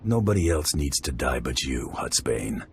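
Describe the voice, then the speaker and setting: A tough bounty hunter with an extremely deep voice, blunt and sarcastic. Faint ambient noise sits behind him. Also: male voice, big pitch swings, moderate pace, gravelly, heavily acted. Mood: defiant. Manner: blunt and defiant.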